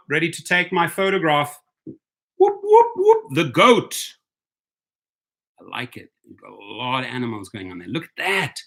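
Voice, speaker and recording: A man hosting a casual livestream, upbeat, greeting viewers and repeating his phrases. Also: fairly high pitch. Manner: upbeat, repeats phrases, gives shout-outs. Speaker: livestream host.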